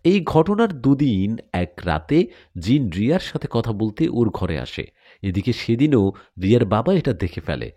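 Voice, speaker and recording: Male audio storyteller: dramatic scripted narration, mellow tone, very lively intonation. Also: mid-range voice, a little slow, dry recording.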